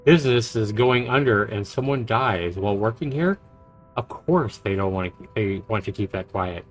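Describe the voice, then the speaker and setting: A man's voice: lowish voice, animated, normal speed. A man who streams games, reading visual novel narration aloud and reacting to it. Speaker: game streamer. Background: game music.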